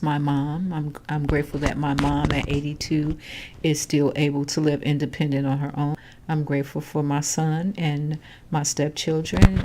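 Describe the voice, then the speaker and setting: Low-voiced older woman recalling her childhood. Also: background noise. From Chicago, Illinois. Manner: calm, matter-of-fact storytelling. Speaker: interviewee, grandmother. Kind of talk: oral history interview.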